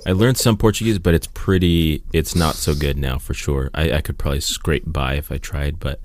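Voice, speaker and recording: A man's voice: extremely low pitch, fairly even intonation, moderate pace, dry recording. A man of Mexican heritage chatting on a podcast, playful and joking. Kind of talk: casual chat.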